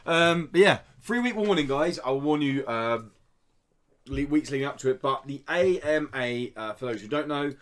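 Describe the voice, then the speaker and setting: A man's voice: mid-range voice, animated, moderate pace. British man hosting a casual podcast. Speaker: podcast host.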